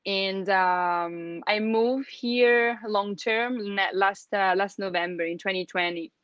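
A woman's voice: medium pitch, takes it slowly, clear, resonant tone, no room sound. Chatty Italian woman on a dull line. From Italy. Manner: chatty, many fillers and restarts, laughs often. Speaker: guest, email account manager. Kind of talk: casual interview chat.

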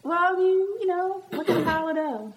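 A woman's voice: very high-pitched, lively intonation, fairly quick, background noise. A woman from Nashville with a blended American Southern accent, chatting casually and frankly, often acting out dialogue. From Nashville, Tennessee, US. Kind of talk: casual candid chat.

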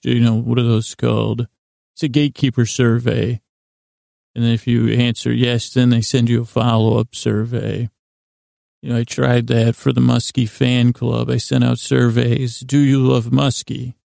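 A man hosting a bedtime podcast in a rambling monologue, halting and often interrupting himself as he wanders off on tangents. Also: medium pitch, creaky, warm tone, dry recording, clean studio sound. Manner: halting, digressive, mumbly self-interruptions. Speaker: podcast host.

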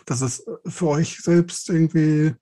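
A man's voice: on the high side, fairly even intonation, a little slow, warm tone. A man asking casual questions as a podcast interviewer.

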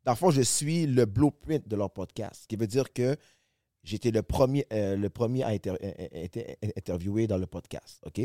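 A man's voice: lowish voice, normal speed, mellow, dry recording, clean studio sound. A man in his forties with a Québécois French accent, a guest rapper chatting casually on a podcast, rambling and often asking if you follow. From Quebec. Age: 40s. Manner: rambling, restarts often, asks "tu comprends?".

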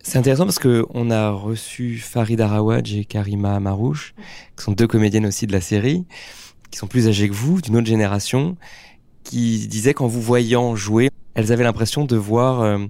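A man interviewing actresses, asking long, thoughtful questions in a measured way. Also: fairly low pitch, dark tone, dry recording.

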